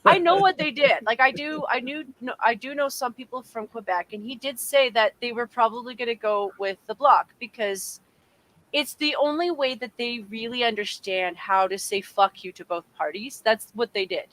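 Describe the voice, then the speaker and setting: A woman's voice: a little high, moderate pace, a bit bright, low-quality recording. A Canadian woman chatting casually on a podcast, rambling with lots of fillers and restarts. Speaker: podcast co-host. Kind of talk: casual chat.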